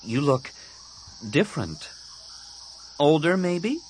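Man voicing an earnest, animated teenage boy. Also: on the high side, takes it slowly, a little hiss or hum, pitched above the performer's own voice. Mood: awkward. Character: earnest, awkward young teenage hero boy. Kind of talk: audiobook character voice.

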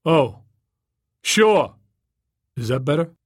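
A man voicing an earnest, insistent male superhero in an audiobook, loud and eager. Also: sits high, expressive, normal speed, dry recording, clean studio sound, pitched above the performer's own voice. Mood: apologetic, earnest. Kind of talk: audiobook character acting.

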